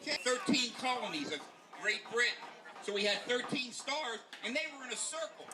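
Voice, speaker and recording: A man's voice: very high voice, very animated, brisk. A man giving an educational talk to children, presenting in simple steps with questions and answers. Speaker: flag etiquette presenter. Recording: noisy outdoor event recording.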